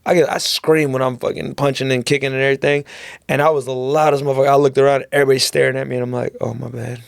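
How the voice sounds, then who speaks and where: A man's voice: mid-range voice, little pitch movement, rapid-fire, gravelly, dry recording, clean studio sound. A man from San Antonio, a coach rambling casually on a podcast, full of slang and "bro". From San Antonio, Texas. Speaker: guest striking coach. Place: podcast studio.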